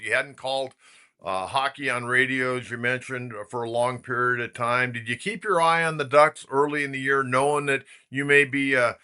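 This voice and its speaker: Casting: American male sports host, middle-aged, mid-pitched with a sharp, bright edge, chatty interviewer. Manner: conversational, long lead-ins to questions. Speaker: sports talk show host.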